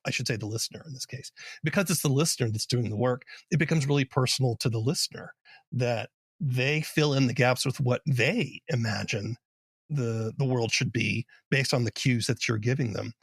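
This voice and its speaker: Guy hosting a podcast, mid pitched, thoughtful measured chat, really dry close sound. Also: vocal fry, husky, no echo. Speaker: podcast host, interviewer. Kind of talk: casual interview talk.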